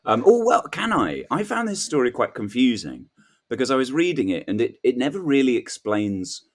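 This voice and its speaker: A man's voice: medium pitch, huge pitch swings, normal speed. A man speaking as a comedy panel guest, casual and questioning, hesitantly working through his thoughts and acting out imagined remarks. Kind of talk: casual comic chat.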